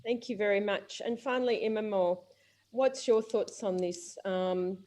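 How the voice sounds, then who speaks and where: A woman's voice: mid-range voice, measured pace, dark tone. A woman moderating an online panel, asking brief, polite questions. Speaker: webinar moderator.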